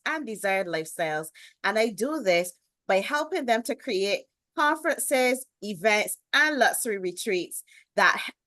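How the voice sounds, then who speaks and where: A Barbadian woman in her thirties speaks over a video call, expressive and emphatic as she introduces herself. Her voice sits at an average pitch. The sound is dry and close, with no room around it. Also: dry recording. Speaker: guest, business lifestyle strategist. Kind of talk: interview, personal introduction.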